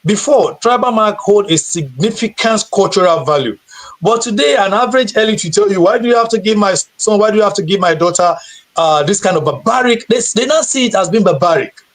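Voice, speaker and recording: A man's voice: high voice, lively intonation, a little fast. A man from Nigeria, a guide and teacher, answering questions earnestly on a video call, often repeating words as he searches for them. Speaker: guest tour guide and teacher. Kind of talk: live stream interview answer.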